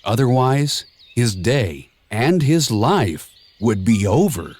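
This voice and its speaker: A man reading a children's storybook aloud in a measured, expressive storytelling manner, with clear and simple phrasing. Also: mid-range voice, dry recording. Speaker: storybook narrator. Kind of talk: scripted children's read-along storybook narration.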